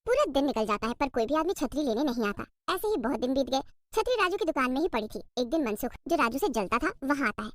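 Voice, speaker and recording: Female cartoon story narrator, high-pitched with lively inflection, very dry recording. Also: fast talker, few pauses, no room sound.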